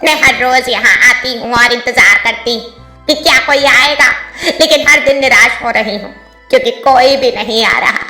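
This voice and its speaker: A woman's voice: fairly high pitch, normal speed, sharp tone, echoey room, faint music behind, low-quality recording. A woman voicing a mischievous, gleeful female ghost in a cartoon story, taunting and theatrical. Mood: bored, wistful. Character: mischievous gleeful female ghost who loves scaring travellers. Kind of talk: Hindi cartoon moral story voice acting.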